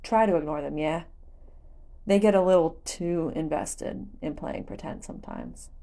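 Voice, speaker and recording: A woman's voice: low-pitched, moderate pace, gravelly, warm tone. A woman voicing a friendly male village shopkeeper in a storybook reading, with a casual, folksy, advising manner. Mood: kindly advising.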